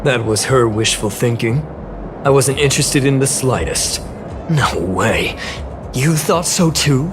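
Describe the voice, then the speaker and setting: Slow-talking smug schemer, played by a man. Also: mid-range voice, animated, natural voice. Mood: smug, dismissive. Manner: suave, dismissive, mocking. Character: smug, cold, self-interested schemer man. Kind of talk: video game voice acting. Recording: game dialogue over background music.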